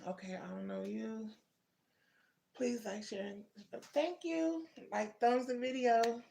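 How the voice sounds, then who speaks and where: Brief: woman in her thirties, livestream host, gravelly voice, chatty and familiar with her viewers. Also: fairly high pitch, slow pace, dark tone. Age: 30s. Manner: chatty, addresses viewers as baby and twin, answers comments. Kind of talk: casual live chat with viewers.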